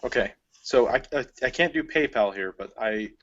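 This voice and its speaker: A man's voice: mid-range voice, lively intonation, normal speed. Offhand joking man on lo-fi audio. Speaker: stand-in co-host. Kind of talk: casual chat. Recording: dull lo-fi online call audio.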